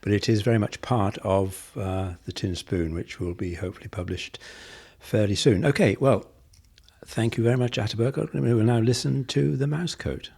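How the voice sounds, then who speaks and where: A man's voice: lowish voice, normal speed, gravelly, darkish tone, slightly rough. A man hosting an arts radio programme, introducing items and interviewing a guest.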